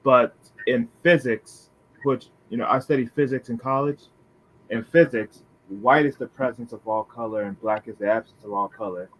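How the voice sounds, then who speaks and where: A man's voice: mid-range voice, moderate pace, a bit bright, rough recording. A man on a livestream panel, making a point in a matter-of-fact way. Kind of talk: casual panel discussion.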